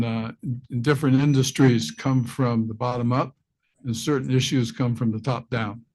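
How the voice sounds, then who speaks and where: A man's voice: medium pitch, a little mellow, low-quality recording. Direct man explaining on a panel. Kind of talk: roundtable answer. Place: press club conference room.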